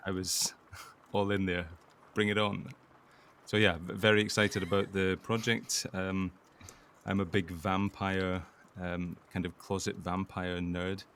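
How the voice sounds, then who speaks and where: A man's voice: low voice, slight background noise. A man, an actor, chatting casually as an interview guest.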